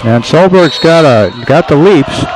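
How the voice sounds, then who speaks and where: A man's voice: on the high side, animated, a little slow. Folksy color commentator man. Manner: chatty, folksy, reflective asides. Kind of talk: casual radio color commentary. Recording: live gym broadcast with crowd noise.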